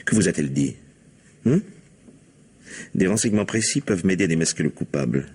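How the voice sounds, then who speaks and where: An actor playing a patient, probing police commissioner in a French mystery radio play, husky-voiced, polite and dryly questioning. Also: male voice, deep voice, expressive, brisk, darkish tone.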